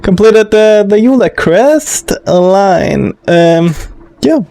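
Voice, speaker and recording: High-voiced man doing casual gaming commentary. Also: expressive, drawn-out words, vocal fry, mellow, no echo. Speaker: gaming video host. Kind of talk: casual let's play commentary.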